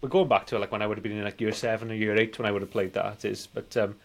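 A man's voice: lowish voice, steady tone, quick pace, creaky. Rambling British man in his forties. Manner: rambling, nostalgic. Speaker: film review podcast co-host. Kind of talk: casual chat.

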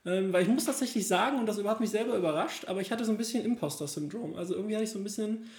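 A man's voice: high-pitched, racing, darkish tone. A man chatting casually as a podcast co-host, recorded in a roomy, echoing space. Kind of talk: casual chat. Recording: roomy echoing room sound.

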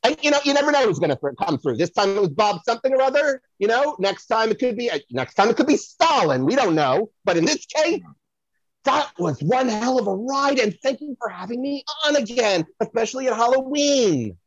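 A woman's voice: fairly high pitch, big pitch swings, a little fast, slightly rough, dry recording, exaggerated voice. Woman playing a brash theatrical psychic medium, dull dry recording. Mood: upbeat, smug. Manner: theatrical, digressive, addressing the host by name. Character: brash, foul-mouthed celebrity psychic medium woman, dramatic and rambling. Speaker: guest playing a comic psychic. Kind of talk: comedic podcast audio play.

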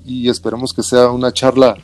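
A Guatemalan man talks as an interview guest in a casual, colloquial storytelling style, dropping in little tag words. His voice is mid-pitched, with faint music playing behind it. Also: level tone, a little fast, darkish tone. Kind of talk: casual chat, personal anecdotes.

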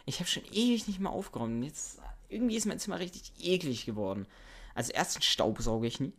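A man's voice: a little high, big pitch swings, fast talker, a bit bright, husky. A young man co-hosting a podcast, chatting loosely in heavy youth slang over a home mic on a call. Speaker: podcast co-host. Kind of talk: casual chat with youth slang. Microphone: home microphone over internet call.

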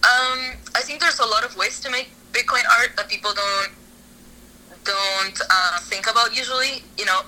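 A woman's voice: mid-range voice, bright, forceful tone, low-quality recording. A young woman from Argentina, an artist talking casually in an interview, rambling with many fillers and restarts.

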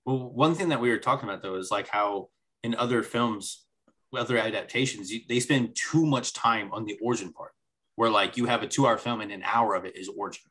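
A man's voice: lowish voice, fairly quick, fairly bright tone, slightly rough, poor audio. A man co-hosting a podcast in casual chat, rambling through his points and slipping in dry jokes. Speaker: podcast co-host.

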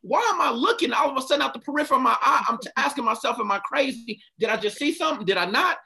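A man's voice: extremely high pitch, rapid-fire, creaky, pushed and bright. A man giving a passionate motivational talk, stopping often to ask his listeners questions. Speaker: speaker leading a group talk.

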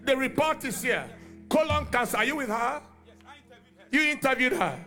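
High-voiced preacher over music. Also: male voice, lively intonation, normal speed, sharp tone. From Nigeria. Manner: commanding, repeats phrases for emphasis. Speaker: guest preacher. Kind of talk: charismatic sermon and prayer ministry.

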